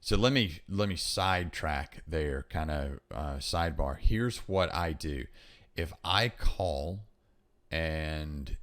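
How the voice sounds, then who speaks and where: A man's voice: very deep voice, slow, fairly bright tone. A man hosting a podcast, talking casually and instructively, emphatically repeating his key points. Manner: emphatic, repeats key points, acts out example phone calls. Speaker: podcast host giving hiring advice.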